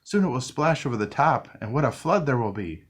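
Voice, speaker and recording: A man's voice: medium pitch, animated, fairly quick, natural voice. A man voicing a proud, restless little toy tugboat in a children's storybook, speaking eagerly and boastfully. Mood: worried. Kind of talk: storybook character voice.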